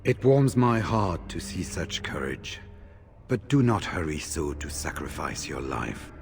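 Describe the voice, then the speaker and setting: A middle-aged man voicing a grave veteran warrior and mentor in a fantasy game, speaking in a measured, formal, solemn way. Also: a bass voice, sing-song, creaky, faint music behind, exaggerated voice. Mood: solemn, resolute.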